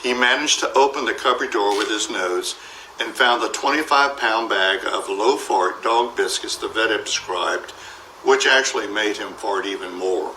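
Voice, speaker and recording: A man narrates a children's storybook with a bright, forward voice. He reads expressively and acts out the dialogue. The room adds a clear echo, and there is faint noise behind him. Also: on the low side, moderate pace, far from the mic. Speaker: storybook reader.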